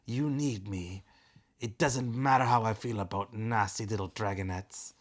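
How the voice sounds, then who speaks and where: A man's voice: on the low side, normal speed, lightly acted. Man voicing a fierce, snarling female dragon. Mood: contemptuous, commanding. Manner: snarling, snappish, contemptuous. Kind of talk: bedtime storybook read-aloud.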